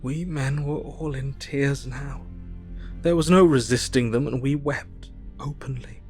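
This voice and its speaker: A man playing a sombre, compassionate Victorian gentleman, narrating a diary in measured tones for an audio drama. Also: medium pitch, faint music behind, natural voice. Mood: moved, sorrowful.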